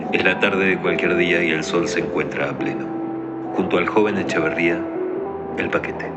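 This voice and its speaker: A man playing a solemn, deliberate narrator, reading scripted scene-setting for a radio play. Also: low voice, close to an everyday voice. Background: loud music.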